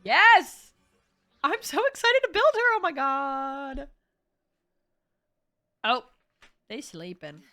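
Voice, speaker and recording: A woman game streamer giving casual, expressive and sweary reactions to a playthrough. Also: high-pitched, slow, pauses often.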